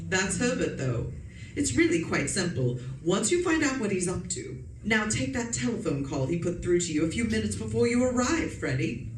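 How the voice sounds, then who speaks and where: A woman's voice: medium pitch, sing-song, moderate pace, lightly acted. A woman acting an imperious, nagging society wife in a radio suspense play, scolding and sure of herself. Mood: breezily certain. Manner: bossy, scolding, haughty. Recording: echoey room, distant mic, noisy background.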